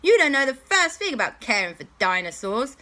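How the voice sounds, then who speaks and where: A woman's voice: high voice, big pitch swings, a little fast, bright, forceful tone, pitched above the performer's own voice, heavily acted. A woman voicing a brave, defiant young man standing up to a villain in a children's story. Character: brave young adult male hero, defiant.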